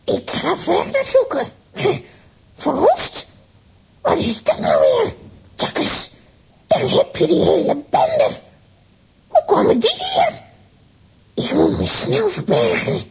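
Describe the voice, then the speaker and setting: A woman's voice: very high-pitched, huge pitch swings, slow, gravelly, pauses often, darkish tone, full character voice. A woman playing a small, scheming, defiant witch in a children's audio drama, exclaiming theatrically. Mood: alarmed, sly.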